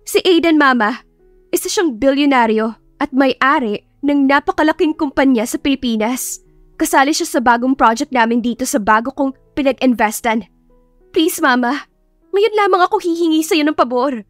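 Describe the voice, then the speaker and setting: Woman acting high-pitched, whiny spoiled young sister. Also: animated, moderate pace, dry recording, faint music behind. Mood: pleading. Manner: whiny, indignant, emphatic. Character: spoiled, scheming young adult sister, whining and pleading. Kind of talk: audiobook character acting, melodramatic.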